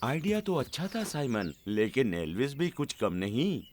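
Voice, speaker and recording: A man voicing a kindly old grandfather rabbit, patient, jovial and expressive, in a cartoon. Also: mid-range voice, normal speed, a little hiss or hum, close to an everyday voice. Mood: indulgent. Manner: indulgent, expressive. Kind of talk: Hindi cartoon dub acting. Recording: music behind the voice.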